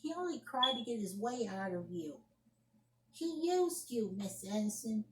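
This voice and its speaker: A woman's voice: a little high, very animated, unhurried. A woman playing an indignant young woman scolding someone over the phone in an amateur solo drama, emphatic and repeating her phrases. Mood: emphatic, reproachful. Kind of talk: amateur solo acted monologue, one side of a phone argument. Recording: roomy echoing home recording.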